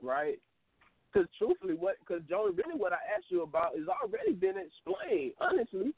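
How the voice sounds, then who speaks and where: A man's voice: high voice, huge pitch swings, normal speed, vocal fry, slight background noise. A man in his twenties calling in to a talk show, telling loose, rambling stories and laughing often. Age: 20s. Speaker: caller. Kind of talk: casual call-in chat. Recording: phone-line audio.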